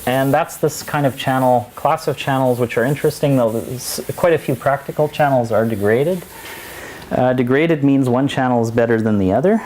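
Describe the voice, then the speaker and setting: A man's voice: medium pitch, moderate pace, darkish tone, a little hiss or hum. A man giving a university lecture, talking in a digressive way with many restarts and asides. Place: lecture hall.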